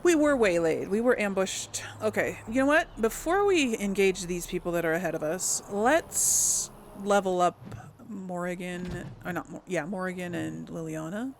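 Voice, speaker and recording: A woman's voice: on the low side, expressive, measured pace, vocal fry, a little hiss or hum. A woman giving casual let's play commentary, chatting and thinking aloud as she goes. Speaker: gaming channel host.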